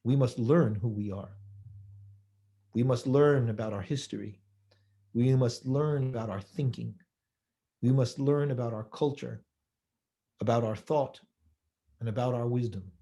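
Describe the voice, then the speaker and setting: Male speaker, mellow voice, measured sermon delivery with rhetorical questions. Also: medium pitch. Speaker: rabbi giving a holiday talk.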